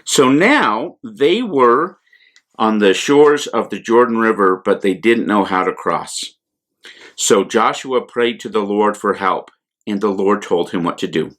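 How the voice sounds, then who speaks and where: An American man, a priest, telling a Bible story to children in simple words with deliberate repetition for emphasis. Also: lowish voice, expressive, fairly bright tone. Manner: simple, deliberate explanations with repeated phrases for emphasis.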